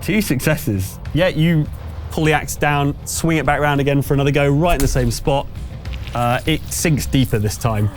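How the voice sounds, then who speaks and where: A man's voice: fairly high pitch, moderate pace, faint music behind. A man running a tabletop roleplaying game as game master, improvising narration and explaining rules to the players.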